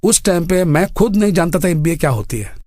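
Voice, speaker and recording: Higher-pitched older man telling his story. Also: animated, a little mellow, dry recording, studio quality. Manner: earnest, deliberate phrasing mixing in English words. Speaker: interview guest, bank general manager. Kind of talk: personal storytelling in an interview. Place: radio studio.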